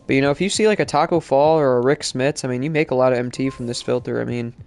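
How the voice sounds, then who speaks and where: A man's voice: mid-range voice, fast, a little mellow, soft background music. A male streamer chatting casually while playing, sounding congested from allergies and rambling with lots of fillers. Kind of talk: live-stream gaming chat.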